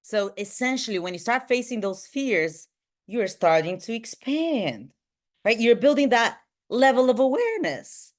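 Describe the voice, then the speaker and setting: A woman from Bulgaria, giving an energetic motivational talk to a group on a video call. She ends nearly every point with "right?" and her voice swings between high and low. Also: measured pace. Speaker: motivational speaker and team trainer.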